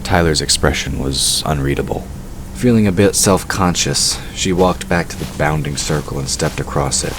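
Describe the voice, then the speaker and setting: A man's voice: rumbling low, normal speed, noisy background. A man reading scripted narration for a fantasy audio drama. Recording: music under the voice. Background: music.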